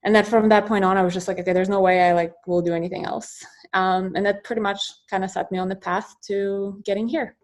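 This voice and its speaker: A woman in her thirties who grew up in Ukraine tells her story in a chatty, animated way, full of fillers and quick asides. Her voice sits in a middle pitch. She is heard over a video call. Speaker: guest surgeon and professor. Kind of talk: casual interview answers.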